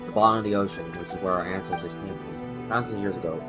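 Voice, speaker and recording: Man reading as a polite, halting elderly shrine villager. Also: deep voice, fairly even intonation, lightly acted. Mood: solemn, devout. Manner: halting read-aloud, stumbling over words. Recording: game music behind the voice.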